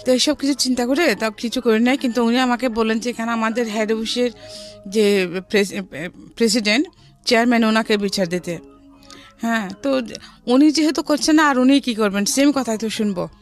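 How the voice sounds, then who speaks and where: A woman's voice: a little high, brisk. A middle-aged woman with a Bangladeshi accent telling her life story in a conversational way, mixing in some English words. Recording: music behind the voice.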